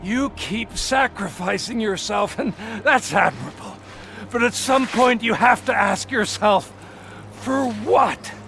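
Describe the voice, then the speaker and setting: A man's voice: high voice, slow, creaky, faint music behind, full character voice. A male actor playing an arrogant, scheming, volatile villain in a video game cutscene, with a theatrical, taunting delivery. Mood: bitter, persuasive. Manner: theatrical, taunting, swinging from smug to shouting.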